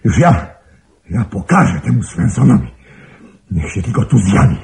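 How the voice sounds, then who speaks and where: A crippled, vengeful man in a radio drama. His low, dark voice lurches up and down with agitation, gravelly at the edges as he mutters and repeats himself. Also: very animated, normal speed. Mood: agitated, scheming. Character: crippled, vengeful older man obsessed with his former fiancée. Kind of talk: radio play acting.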